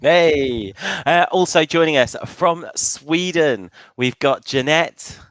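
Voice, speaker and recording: A man's voice: on the high side, slow, fairly bright tone, dry recording. A man hosting a live online fan panel show in a chatty presenting style.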